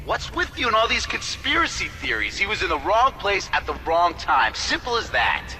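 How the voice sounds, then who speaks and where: A man's voice: sits high, wildly swooping pitch, moderate pace, pushed and bright, a little hiss or hum, heavily acted. An actor playing a sarcastic, wisecracking male mercenary soldier who jokes and complains in a game cutscene. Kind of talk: video game cutscene acting.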